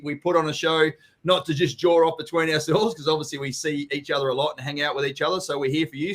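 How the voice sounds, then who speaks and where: A blokey Australian man with a slightly high voice. He hosts a casual live stream, reading out viewer comments and chatting informally with plenty of swearing. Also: fairly bright tone. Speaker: co-host of a property live Q&A, company general manager. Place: live online video stream.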